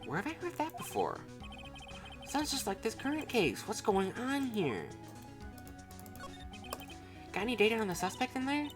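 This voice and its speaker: A woman's voice: fairly high pitch, huge pitch swings, normal speed, pauses often, over music, pitched above the performer's own voice. A woman voicing an eager, curious young female sidekick while reading video game dialogue aloud. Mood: curious, surprised.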